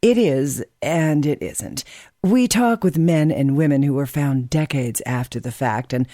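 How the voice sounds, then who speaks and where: A woman's voice: low-pitched, a little slow, dark tone, no room sound, clean studio sound. A woman playing a haughty best-selling author and doctor as a guest in a radio drama. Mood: polite, self-important. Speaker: talk show guest character.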